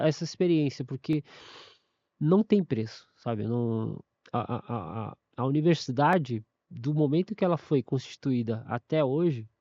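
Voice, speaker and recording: A man's voice: on the high side, gravelly, warm tone, dry recording, lo-fi sound. A man, a university staff member giving a casual testimony, often stumbling, restarting and repeating words.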